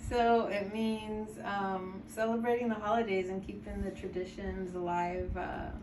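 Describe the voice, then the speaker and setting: Flat-voiced woman, echoey distant recording. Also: medium pitch, unhurried. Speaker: interviewee. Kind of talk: casual interview answer. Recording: echoey room, distant mic, background noise.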